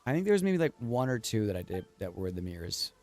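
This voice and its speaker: A man streams a video game with casual, blunt commentary. His pitch is lively and varied, and his talk is slangy and full of swearing. Game music plays faintly behind him. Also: quick pace. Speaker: livestreamer.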